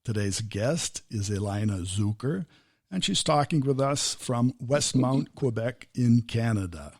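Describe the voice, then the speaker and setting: A man's voice: mid-range voice, a little mellow, husky, no echo. American man hosting a business podcast. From United States. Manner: formal scripted introduction, then easy conversational interjections. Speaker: podcast host. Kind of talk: interview podcast. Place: home podcast studio.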